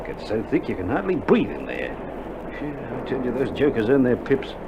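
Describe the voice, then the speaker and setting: An actor playing a weary, dry-humoured Australian army doctor in a wartime radio drama, speaking in a colloquial, matter-of-fact way. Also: male voice, mid-range voice, huge pitch swings, normal speed. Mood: weary, wry. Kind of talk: recorded radio drama acting. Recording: dull vintage vinyl recording, loud noise.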